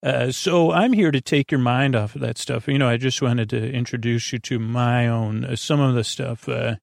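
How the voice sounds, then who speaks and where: Male podcast host, creaky and hesitant, improvising a meandering bedtime monologue in a dry acoustic. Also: mid-range voice, steady tone, normal speed, dry recording.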